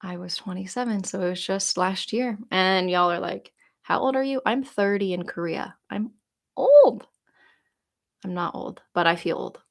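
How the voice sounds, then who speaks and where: A woman's voice: medium pitch, moderate pace, gravelly. Chatty young woman rambling on a live stream. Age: 20s. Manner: chatty, rambling, lots of "like" fillers and restarts; laughs easily while reading comments aloud. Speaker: YouTuber answering viewer questions. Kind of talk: casual live-stream Q&A chat.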